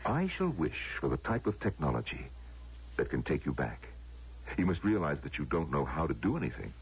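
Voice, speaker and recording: An actor playing a solemn, knowing man who grants wishes, gravelly and persuasive in a radio drama style. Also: a bass voice, sing-song, heavily acted. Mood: grave, cautioning. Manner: measured, grave, persuasive, theatrical. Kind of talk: American radio drama acting. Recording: muffled, lo-fi old radio broadcast.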